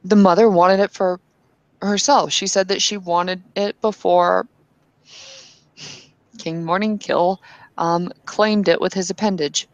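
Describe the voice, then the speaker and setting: A woman's voice: fairly low pitch, fairly even intonation, slow pace, long pauses, no echo, highs cut off. A woman playing an earnest young werewolf woman who insists on following instructions, in her own voice. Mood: insistent.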